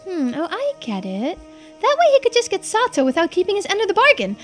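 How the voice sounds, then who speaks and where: An earnest young game character with a high, animated voice, put on by a woman. The youngster speaks eagerly and emphatically, feelings on show. Game music plays behind. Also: brisk, heavily acted. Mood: curious, eager. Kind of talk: voicing game dialogue in a playthrough.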